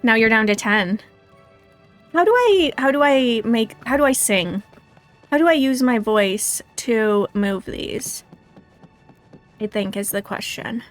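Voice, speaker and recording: A woman's voice: fairly high pitch, lively intonation, slow, pauses often, faint music behind. A woman hosting a casual game livestream, chatting and thinking aloud in a self-deprecating way. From United States. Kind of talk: casual livestream chat and gameplay commentary.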